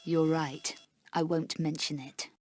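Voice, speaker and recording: A woman's voice: deep voice, little pitch movement, takes it slowly, a little mellow, dry recording. A woman playing a cool, sardonic, fearless British adventurer in a video game, speaking with dry, clipped, haughty wit.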